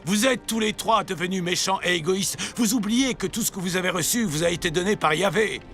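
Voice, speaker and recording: Old father, highish creaky voice, reproachful, animated Bible story, music behind. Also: a little fast. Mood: tipsy, accusing. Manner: grumbling, reproachful.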